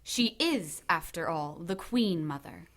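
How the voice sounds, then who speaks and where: Composed, regal witch high priestess. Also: animated. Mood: stern dignity. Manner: formal, measured, authoritative. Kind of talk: audio drama acting.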